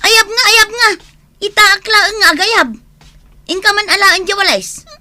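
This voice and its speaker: A woman's voice: extremely high pitch, unhurried, bright, forceful tone, exaggerated voice. A woman with a Filipino accent playing a harried, nagging wife and mother in a radio drama. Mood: angry, shouting. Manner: exasperated, scolding. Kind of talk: Ilocano radio drama acting.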